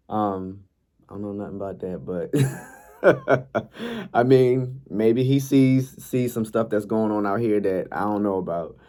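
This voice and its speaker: A young man vlogging in a laid-back, chatty way, sounding a bit congested and raspy from a night of yelling. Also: lowish voice. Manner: laid-back, chatty, frequent ums and likes. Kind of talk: casual vlog talk, reading posts aloud.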